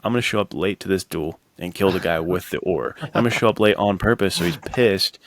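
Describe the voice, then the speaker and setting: Low-voiced man in slangy, bro-like chat. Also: expressive, a little fast, vocal fry. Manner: slangy, bro-like, acts out quotes playfully. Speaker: podcast co-host. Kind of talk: casual animated storytelling chat.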